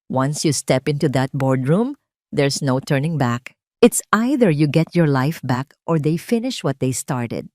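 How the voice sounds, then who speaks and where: A woman's voice: low voice, lively intonation, measured pace, a little mellow, no echo, studio quality. A woman with a Filipino accent voicing a loyal, concerned male attorney in an audio story. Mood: grave warning.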